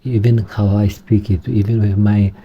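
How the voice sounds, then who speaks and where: A man with a Romanian accent, speaking English as a second language, talking through a computer setup in a halting way with many restarts. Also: fairly low pitch, flat delivery, dull audio, a little hiss or hum. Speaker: tech vlogger. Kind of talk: screen-recorded tutorial.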